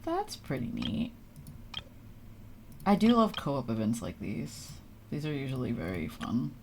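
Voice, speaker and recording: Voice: medium pitch, wildly swooping pitch, unhurried, a little mellow, a little hiss or hum. A young adult live streamer giving casual, informal, self-deprecating commentary while playing a game. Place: indoor live stream with game music behind.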